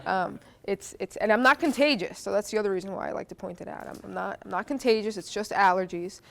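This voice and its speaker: A woman's voice: mid-range voice, quick pace, creaky. Woman, stuffy nose, interactive classroom lecture, conversational, lots of fillers. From New Jersey, United States. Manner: chatty, asks the audience questions, frequent "uh" and "um". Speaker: history professor giving a guest lecture.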